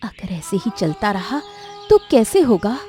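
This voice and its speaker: A woman's voice: medium pitch, very animated, unhurried, warm tone. A woman voicing a devoted young village daughter in a dramatic story, anxious and questioning. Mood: worried, pleading. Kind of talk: dramatic animated story acting. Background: music.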